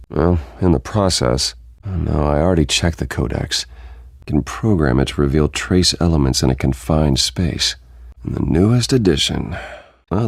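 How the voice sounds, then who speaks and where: Casting: brooding game vigilante, extremely deep, gravelly, measured self-talk. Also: male voice, darkish tone, no echo, clean studio sound, full character voice. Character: brooding male masked vigilante, wealthy, methodical adult.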